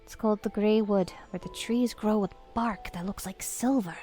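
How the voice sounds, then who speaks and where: A woman playing a calm, gentle forest ranger in a fantasy audio drama. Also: mid-range voice, moderate pace, faint music behind, close to an everyday voice. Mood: serene, wistful. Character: serene female forest ranger at peace among trees.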